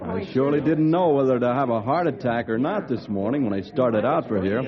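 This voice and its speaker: An actor playing an anxious but genial colonel in an old time radio drama. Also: male voice, mid-range voice, expressive, fairly quick, a crowd in the background, close to an everyday voice. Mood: relieved. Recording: muffled old radio recording, background hubbub.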